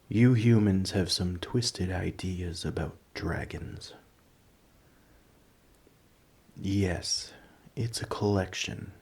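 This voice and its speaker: A man playing a large, ancient, nerdy dragon in an ASMR roleplay, polite and earnest but a little huffy, with a soft voice. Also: low voice, slightly rough, full character voice. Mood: offended, huffy. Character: large, ancient, nerdy gentle male dragon, politely indignant coin collector. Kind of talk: ASMR roleplay audio drama.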